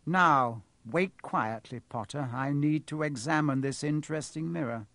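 A man narrating a storybook, voicing a cold, gloating male villain professor with a sharp, mocking, unhurried delivery. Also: a little high, dry recording. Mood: coolly menacing.

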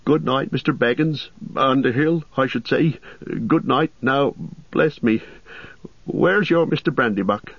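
A man voicing a fussy, excitable middle-aged innkeeper who frets loudly in a raised voice. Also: gravelly, slightly rough, dry recording, lo-fi sound. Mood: flustered. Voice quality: excitable, high and loud, quick to fret.

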